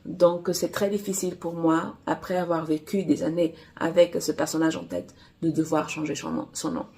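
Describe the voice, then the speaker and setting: A woman romance author whose first language is Portuguese, chatting casually to camera in a halting way with many fillers and restarts. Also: sits low, little pitch movement, gravelly, mellow. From Angola. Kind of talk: casual vlog chat.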